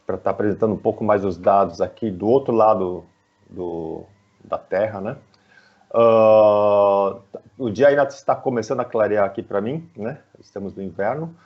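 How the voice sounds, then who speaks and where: A man with a Brazilian Portuguese accent explaining things conversationally as an interview guest, halting and often adding little tag questions, on dull online call audio. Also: lowish voice. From São Paulo, Brazil. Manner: halting, frequently tagging phrases with "né".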